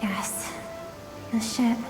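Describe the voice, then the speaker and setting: A woman playing a gentle, wistful storyteller in a video game, narrating memories in a measured, dramatic way. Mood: grave, sorrowful. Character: wistful, gentle female storyteller guiding through someone's memories. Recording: echoey, long reverb game audio. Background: music.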